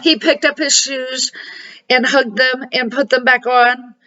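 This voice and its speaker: Older woman reading a storybook, bright-toned. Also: high-pitched, fairly even intonation. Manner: homespun amateur read-aloud, dramatic pauses. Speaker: storybook reader and series host. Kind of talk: storybook narration for children. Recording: dull, muffled home recording.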